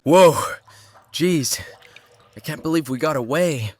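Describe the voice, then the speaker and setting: A man's voice: on the high side, takes it slowly, a little mellow. A man playing a naive, earnest and anxious young man in a horror audio drama, hesitant and easily shaken. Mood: shaken, apologetic. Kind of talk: horror audio drama acting with first-person narration. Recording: clearly noisy background.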